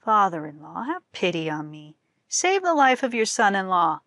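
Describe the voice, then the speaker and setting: A woman's voice: mid-range voice, big pitch swings, moderate pace, a bit bright, no room sound. A woman voicing a cowardly young male scholar in a folktale, humble and imploring.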